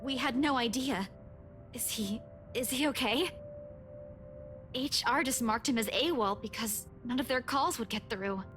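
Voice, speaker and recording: Office employee, woman, high voice, anxious, polite, visual novel game, music behind. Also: unhurried, pauses often, fairly bright tone. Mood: shaken.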